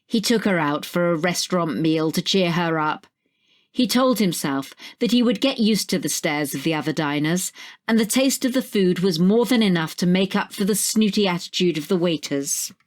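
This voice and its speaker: Female narrator, slightly low voice, reading scripted short fiction. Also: lively intonation, normal speed. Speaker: story narrator.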